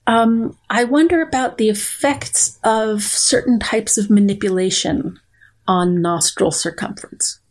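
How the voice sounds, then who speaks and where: Science lady being interviewed, kinda husky voice, measured and precise wording. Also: mid-range voice. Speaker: biologist guest. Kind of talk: thoughtful conversational interview.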